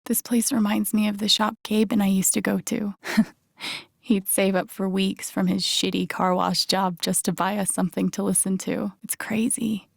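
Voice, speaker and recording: A voice actress playing a wry, warm-hearted young woman who mutters her thoughts to herself in a naturalistic, understated video game style. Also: medium pitch, little pitch movement, normal speed, creaky, slightly rough, dry recording. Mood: tender, wistful. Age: young adult. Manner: dry, understated, self-talking wit.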